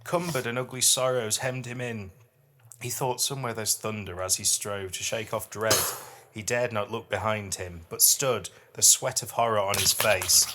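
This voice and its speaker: A man's voice: fairly low pitch, steady tone, normal speed, bright, forceful tone, a little hiss or hum. A middle-aged British man hosting a podcast, chatting casually with a wry, self-deprecating touch and reading poetry dramatically. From United Kingdom. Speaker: podcast host and dad.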